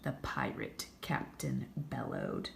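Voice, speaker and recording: A woman reading a children's story aloud with expressive, dramatic narration and drawn-out emphasis. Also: a bass voice, gravelly. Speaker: storybook reader. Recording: echoey room, faint background noise.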